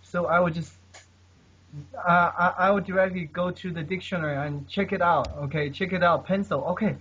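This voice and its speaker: A man's voice: sits high, fairly even intonation, normal speed, a bit bright, husky. A man, a polyglot, giving earnest advice on language learning in casual conversation, often tagging his sentences with "okay?" and many fillers. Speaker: guest polyglot. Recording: dull lo-fi recording.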